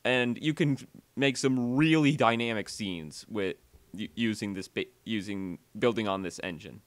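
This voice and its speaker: A man narrating a software tutorial voiceover, halting and often repeating or correcting himself as he explains. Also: on the low side, dry recording.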